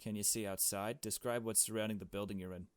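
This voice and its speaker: A man's voice: on the low side, fairly even intonation, quick pace, no echo, close to an everyday voice. A man voicing a brash, foul-mouthed, take-charge young woman in a visual novel, talking bluntly and in slang. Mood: urgent, bossy.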